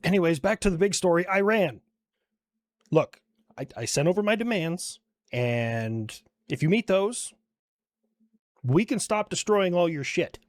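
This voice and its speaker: Blunt, animated man with a highish voice. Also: measured pace, pauses often, no room sound, clean studio sound. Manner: profane, blunt. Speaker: comedy skit creator. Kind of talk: conversational satirical news commentary.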